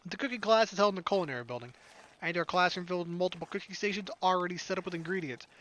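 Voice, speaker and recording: A man's voice: high-pitched, very fast, a bit bright. A young man streaming a visual novel, reading its narration aloud with casual, joking asides. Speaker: let's play streamer. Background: game music.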